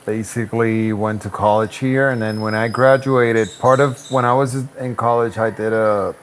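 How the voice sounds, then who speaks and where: A man, a real estate broker answering interview questions in a relaxed, reflective way with many restarts. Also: fairly low pitch, normal speed, a little hiss or hum. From Puerto Rico. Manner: easygoing, reflective, frequent restarts and "um".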